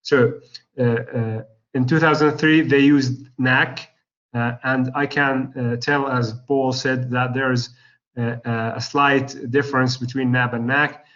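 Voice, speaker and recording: A male lecturer with an Arabic accent, losing his voice, teaching a class in a halting way with many pauses and restarts. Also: mid-range voice, fairly even intonation, a bit bright. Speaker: university lecturer. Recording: slightly dull lo-fi recording.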